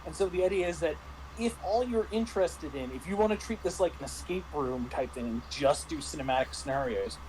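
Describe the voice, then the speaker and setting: A man in a casual review discussion, talking haltingly with repeated words and false starts. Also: fairly high pitch, animated, a little mellow, slight background noise, poor audio. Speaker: panelist reviewing a tabletop roleplaying game.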